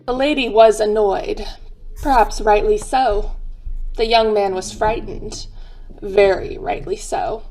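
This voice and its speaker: A woman's voice: mid-range voice, fairly even intonation, takes it slowly, warm tone, soft background music, low-quality recording. A woman playing a knowing, dry narrator of a radio crime drama. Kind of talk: scripted old-time radio narration.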